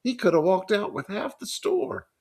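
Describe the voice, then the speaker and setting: A frightened, gentle teenage boy from a storybook reading speaks up high and shaky, as a man puts him on. His words come out pleading and earnest. He sounds young and soft-hearted. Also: big pitch swings, moderate pace, husky, full character voice. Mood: eager. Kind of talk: reading a novel aloud.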